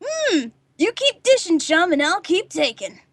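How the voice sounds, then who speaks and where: A woman's voice: extremely high pitch, big pitch swings, measured pace, heavily acted. A woman playing a cheeky, eager boy sidekick in an old-time radio drama. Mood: playful, cheeky.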